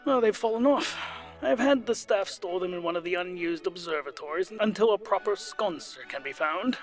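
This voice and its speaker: A man's voice: high-pitched, normal speed, soft background music, close to an everyday voice. A man voicing a formal, patient butler, deferential and hesitant as he reports to his lord in a comic audio drama. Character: formal, patient male butler, dutifully reporting household woes.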